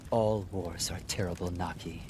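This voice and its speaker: An actor playing a solemn, measured man who recounts a war formally in a fantasy game. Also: low voice, steady tone, background noise, lightly acted. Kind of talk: dramatic storytelling in a fantasy game cutscene.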